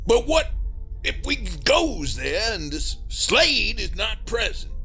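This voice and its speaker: A man playing a grumpy, loud backwoods hillbilly monster hunter with a rural Southern US accent, folksy and indignant, in a comedy audio drama. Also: sits high, big pitch swings, slow, faint music behind, exaggerated voice. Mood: skeptical.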